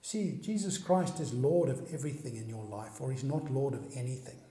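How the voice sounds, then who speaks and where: A man's voice: medium pitch, expressive, normal speed, warm tone. A man preaching a sermon, pressing the listener with one earnest question after another. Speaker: pastor. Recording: echoey hall recording.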